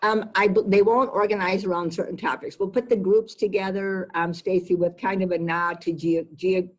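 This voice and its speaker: A woman's voice: medium pitch, fairly even intonation, moderate pace. A woman executive giving conversational interview answers, emphatic and repeating words for stress. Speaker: business executive being interviewed.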